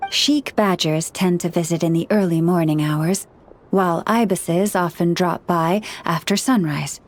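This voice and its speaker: A woman voicing a gentle, earnest young woman who counsels animals in a video game, speaking in a measured, formal way. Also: on the low side, slight background noise, lightly acted. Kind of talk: video game character dialogue. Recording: studio voice over background music.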